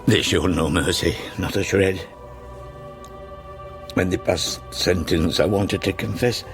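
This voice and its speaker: An actor playing a guilt-ridden older father in a radio drama, with a rough, husky voice and halting, broken phrases. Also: sits low, over music. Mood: anguished. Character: haunted older father, guilt-ridden, burdened by childhood secret.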